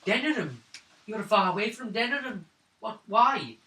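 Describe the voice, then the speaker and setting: A man's voice: high voice, expressive, a little slow, pushed and bright, voice pushed up, full character voice. A man role-playing an injured young elf woman at a tabletop game, faltering and questioning, confused and wary. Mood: puzzled. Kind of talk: in-character tabletop role-play. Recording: roomy, distant mic, faint noise.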